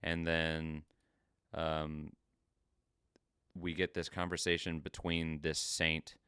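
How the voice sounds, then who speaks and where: A man hosting a podcast, recapping a story in an informal, sweary and halting way. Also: extremely low pitch, flat delivery, sharp tone, clear, resonant tone, dry recording, clean studio sound. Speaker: podcast host. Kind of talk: casual review and story recap.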